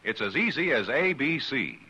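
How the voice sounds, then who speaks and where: A man's voice: mid-range voice, big pitch swings, moderate pace, bright, forceful tone, husky. A man announcing credits and sponsor lines on a 1950s radio show in a formal style. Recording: muffled 1950s radio broadcast with music.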